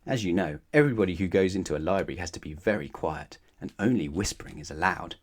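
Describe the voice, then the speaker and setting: A man's voice: low voice, fast talker. A man narrating a children's bedtime story expressively, putting questions to his young listeners. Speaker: storybook reader.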